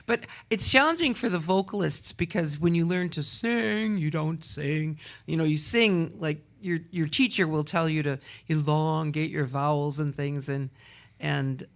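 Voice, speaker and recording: A woman's voice: low voice, a little slow. A woman from Wisconsin representing a community theatre in a casual radio interview, talkative and laughing often. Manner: talkative, laughs often, trails off mid-sentence.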